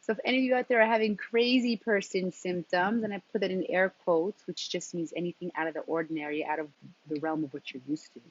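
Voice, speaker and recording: Husky-voiced woman giving a spiritual pep talk. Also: fairly low pitch, fairly even intonation. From New York, of Colombian background. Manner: deliberate, in short broken phrases with emphatic repetition. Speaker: transformative coach and intuitive guide. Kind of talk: motivational spiritual talk to camera. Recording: dull, lo-fi sound.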